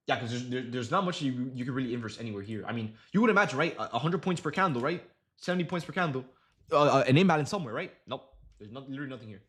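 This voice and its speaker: A man's voice: mid-range voice, a bit bright. Young man, trading livestream host, casual slangy chat, lots of fillers. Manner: loose slangy talk, many fillers and restarts. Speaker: day trading streamer.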